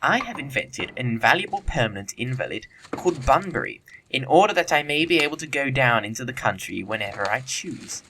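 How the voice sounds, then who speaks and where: A man's voice: medium pitch, sing-song, fairly quick, few pauses, pushed and bright, slight background noise, low-quality recording. A man playing a flippant, witty young upper-class bachelor in a period comedy audio play, with an arch, theatrical delivery. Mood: smug, witty.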